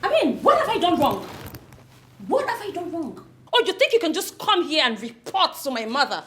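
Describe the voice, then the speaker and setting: Young woman as an actress plays her, defiant and accusing, high pitched, bright tone, lively swings. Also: moderate pace, slight background noise, heavily acted. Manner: defiant, accusing, rising to near-shouting. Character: defiant young woman fighting her uncle over her father's company. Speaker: daughter confronting her uncle. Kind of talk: film drama acting.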